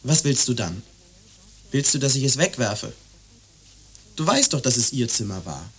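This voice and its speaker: A man's voice: mid-range voice, very animated, moderate pace, a bit bright, breathy edge, heavily acted. An actor playing an exasperated young man in a radio play, arguing emphatically and repetitively in defence of his attachments. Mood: pleading, argumentative. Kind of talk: radio play dialogue acting.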